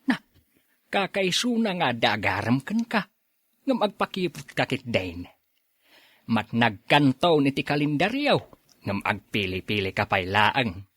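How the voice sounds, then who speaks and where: A man's voice: medium pitch, sing-song, measured pace, fairly bright tone, breathy edge, no echo, full character voice. A man playing an earnest young provincial suitor in a radio drama, speaking with an Ilocano accent. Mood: teasing. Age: young adult. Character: earnest 24-year-old provincial man courting an older woman.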